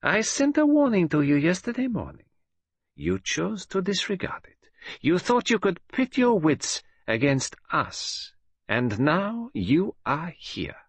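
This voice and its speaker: A man voicing a cold, mocking female criminal mastermind who speaks low and softly menacing. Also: sing-song, takes it slowly, breathy edge, dry recording, heavily acted.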